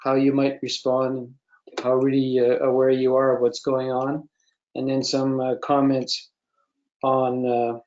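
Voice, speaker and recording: A man giving a measured, matter-of-fact informal briefing on a business conference call. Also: medium pitch, completely flat delivery, a little mellow. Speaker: association speaker on the call. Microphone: conference call connection. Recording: dull call audio, faint noise, clicks.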